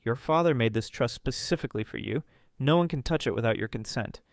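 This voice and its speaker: A man's voice: medium pitch, brisk, no room sound, studio quality, close to an everyday voice. A man voicing a firm, kind old lawyer.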